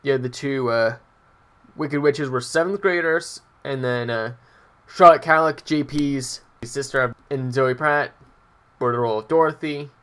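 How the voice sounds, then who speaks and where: A man's voice: medium pitch, unhurried, fairly bright tone, clear, resonant tone. A young adult man telling personal stories casually as a vlogger, hesitant and meandering, often breaking off mid-sentence. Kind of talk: casual rambling personal storytelling.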